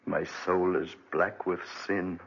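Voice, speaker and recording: A man's voice: low voice, flat delivery, slow pace, a bit bright, breathy edge, heavily acted. An actor playing a guilt-ridden, bitter Englishman with a brooding, dramatic delivery in a 1940s radio drama. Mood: brooding, haunted. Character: haunted, guilt-ridden Englishman, bitter former debtor prisoner. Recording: dull restored 1940s radio recording.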